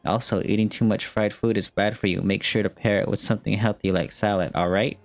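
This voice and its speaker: Male gaming host, lowish voice, informal and slangy, casual commentary over faint game music. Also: steady tone, a little fast. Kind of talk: casual gameplay commentary, reading game text aloud.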